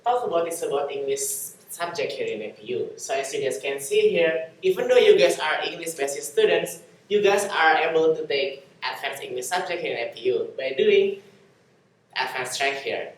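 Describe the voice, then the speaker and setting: A man's voice: fairly high pitch, animated, normal speed. A young man giving a student talk on course registration in a classroom, speaking steadily and addressing the audience as you guys. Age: young adult. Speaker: student guide. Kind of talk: presentation explaining course registration. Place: classroom in front of a screen. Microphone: far camera. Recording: echoey classroom from far off.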